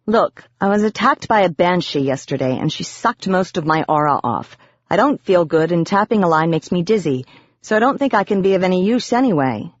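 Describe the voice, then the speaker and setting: A woman's voice: lowish voice, animated, brisk, dry recording, lo-fi sound. A woman voicing a stubborn, worried young witch who is impulsive and protective, in a fantasy audiobook. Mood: weary, irritated.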